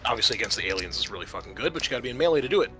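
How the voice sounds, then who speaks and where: A man leads a tabletop roleplaying session as game master. His voice is bright and medium-pitched, and he talks informally and haltingly through rulings and scene narration. Faint music plays behind him. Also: very animated.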